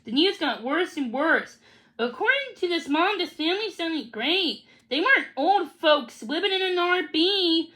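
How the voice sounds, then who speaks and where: High-pitched, very animated woman reading a storybook. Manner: halting reading with stumbles and repeated words. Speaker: read-along reader. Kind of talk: reading a children's storybook aloud.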